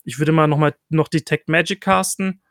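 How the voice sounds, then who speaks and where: Higher-voiced man thinking aloud while gaming. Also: steady tone, moderate pace, a bit bright, no echo, clean studio sound. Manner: hesitant, repeating and revising himself. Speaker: player in a tabletop role-playing game. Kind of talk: casual game chat, thinking aloud.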